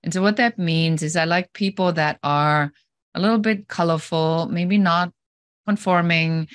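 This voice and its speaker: A woman's voice: lowish voice, unhurried, no room sound, clean studio sound. A woman with a German accent, a business coach answering interview questions in a direct, straightforward way and explaining with everyday examples.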